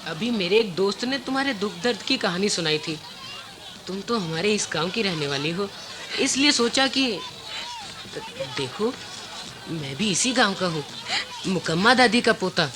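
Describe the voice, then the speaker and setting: A woman's voice: mid-range voice, lively intonation, moderate pace, pauses often, noisy background, lightly acted. A woman acting a kind-hearted village schoolboy in a Hindi radio drama, with an earnest, explanatory delivery. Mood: placating, earnest.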